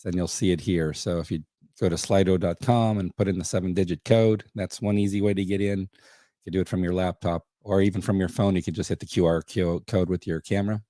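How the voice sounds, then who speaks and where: A man with a very low, mellow voice opens a live online show. He is losing his voice, so it sounds hoarse and strained. He keeps it slow and steady, close and dry. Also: no echo. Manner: slow and steady, with some restarts. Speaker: livestream host. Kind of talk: casual live stream introduction.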